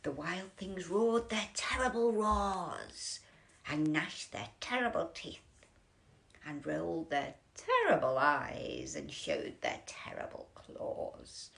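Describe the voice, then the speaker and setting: Animated, slow-paced woman storyteller. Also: on the low side. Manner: theatrical, drawn-out delivery with breathy whispers and gasps. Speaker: children's storyteller. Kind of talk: expressive bedtime picture-book reading.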